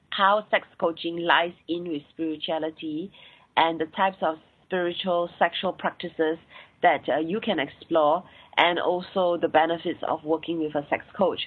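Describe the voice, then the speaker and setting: A woman's voice: sits low, husky. A woman with a Singaporean accent hosting her podcast and chatting through past episodes with many fillers. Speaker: podcast host and sex coach. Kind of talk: solo podcast episode recap. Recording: tinny phone-like line.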